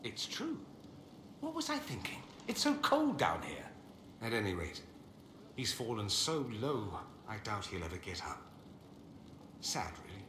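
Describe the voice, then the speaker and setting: Sardonic flamboyant wizard for a video game, really lively voice, bit of background noise. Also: male voice, lowish voice, unhurried, long pauses. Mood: wry. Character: witty, proud, theatrical mage exiled from a corrupt empire. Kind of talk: dramatic video game dialogue. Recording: roomy echo, faint ambient noise.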